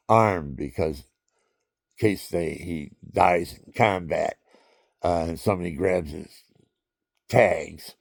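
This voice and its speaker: A man with a very low, gravelly voice drifts slowly from one family story to the next, circling back and repeating lines as he goes. The sound is close and dry, with no room around it. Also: no echo. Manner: meandering, repeats himself, chuckles at his own stories. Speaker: vlogger. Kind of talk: rambling personal storytelling.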